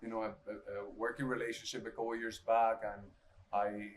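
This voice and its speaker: Low-voiced enthusiastic male podcast host. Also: flat delivery, fairly quick, a little hiss or hum, poor audio. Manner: enthusiastic, stumbling restarts. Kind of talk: interview hosting.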